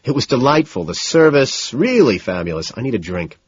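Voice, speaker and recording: Man playing a jittery, frazzled man, lifted in pitch. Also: sing-song, breathy edge. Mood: frazzled, rushed. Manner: nervous, rushed, stammering, over-insistent. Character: frazzled, jittery, overeager well-off man, faking composure. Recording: dull, lo-fi, roomy sound.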